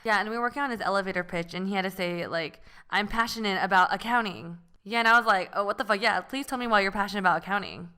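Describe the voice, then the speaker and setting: A young woman from the Bay Area talks fast on a podcast. Her voice is bright and a touch tense. She tells stories lively and acts out what other people said. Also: medium pitch, smooth. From San Francisco Bay Area. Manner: lively storyteller, acts out quotes. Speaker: podcast co-host. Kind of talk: casual chat.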